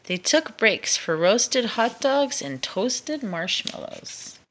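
A woman reading a children's picture book aloud as a storytime narrator, expressive and deliberate. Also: a little high. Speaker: storytime reader.

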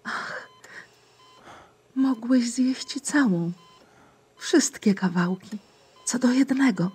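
Radio play mother: tender, puzzled, high-pitched, very breathy, unhurried delivery. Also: a little mellow, heavily acted.

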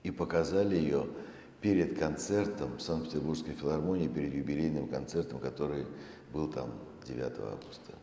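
Very deep-voiced man lecturing in echoey hall. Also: mellow. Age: middle-aged. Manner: measured, deliberate, with pauses mid-phrase. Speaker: museum director presenting a project. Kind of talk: public lecture to an audience. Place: large concert hall stage, indoors. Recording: echoey hall, faint background noise.

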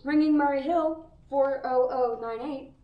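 A woman's voice: sits high, a little slow, roomy sound, distant mic, lo-fi sound. Girl playing a prim telephone operator. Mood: polite. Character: polite female telephone operator. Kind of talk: student radio play acting.

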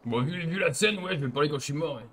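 A young man with a bright, slightly high voice talks quickly and bluntly. He sounds like a provocative streamer in casual game chat, with faint noise behind him. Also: lively intonation. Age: young adult. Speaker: streamer trolling in a roleplay game.

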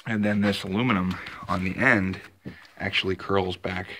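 A man's voice: low voice, fairly even intonation, normal speed, bright, forceful tone, a little hiss or hum. A man filming a casual show-and-tell vlog in his home basement workshop on a handheld phone, rambling with lots of fillers and restarts. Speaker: vlogger. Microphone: handheld phone camera.

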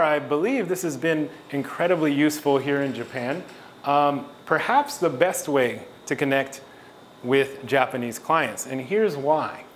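A middle-aged man presenting a business talk to an audience in a small event space, steady and clear with the odd filler and self-correction. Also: fairly high pitch, a little hiss or hum. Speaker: business consultant giving a talk.

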